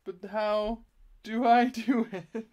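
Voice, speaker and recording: A woman chats live from her art studio, giggling at her own confusion over the stream. She speaks slowly in a fairly high voice. Her words are picked up by the laptop and camera mics around her. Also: fairly even intonation, darkish tone, dull audio. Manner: self-deprecating, giggly, fumbling with the tech. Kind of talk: casual live stream chat. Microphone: laptop, DSLR and chest-mounted webcam.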